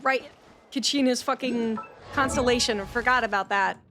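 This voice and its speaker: A woman's voice: fairly high pitch, normal speed, pushed and bright, a little hiss or hum. A woman streaming a game, making casual, offhand and playful comments. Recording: game music behind. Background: game music.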